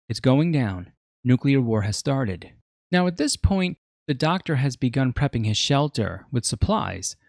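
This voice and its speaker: A man's voice: medium pitch, darkish tone, dry recording, clean studio sound. A man narrating a scripted video essay as a media reviewer, telling the story in a dramatic, reflective way.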